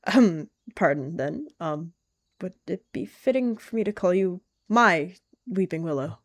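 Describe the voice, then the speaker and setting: Flustered, affectionate cat character voiced by a woman, awkward and teasing, slightly low voice, roleplay story. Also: expressive, measured pace, no room sound. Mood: awkward, flirty.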